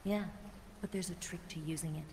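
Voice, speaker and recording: Woman playing a low-voiced, seductive female spy. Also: steady tone, a little fast, warm tone, a little hiss or hum, natural voice. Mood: briefing, confident. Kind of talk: cinematic video game voice acting. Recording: reverberant hall, music underneath.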